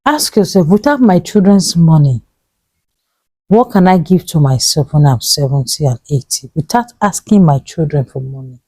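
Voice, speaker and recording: Earnest Nigerian woman with a dark voice. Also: animated, normal speed, slightly rough, no echo. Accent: Nigerian English. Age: 30s. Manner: pleading and repetitive, drops to a low hush on key points. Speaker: vlogger giving money advice. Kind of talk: earnest motivational talk to camera.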